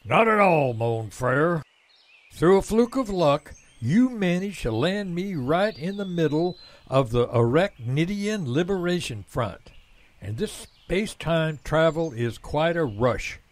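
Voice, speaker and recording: A man playing a cranky, cocky, reckless volunteer fighter in an audio drama, with gruff, folksy defiance. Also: on the high side, animated, slow. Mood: exhilarated.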